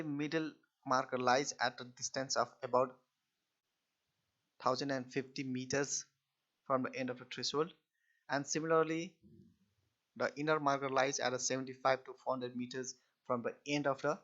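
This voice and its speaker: Man lecturing haltingly on a dull recording. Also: medium pitch, steady tone, fairly bright tone. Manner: halting, repetitive phrasing with restarts. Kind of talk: explanatory technical lecture.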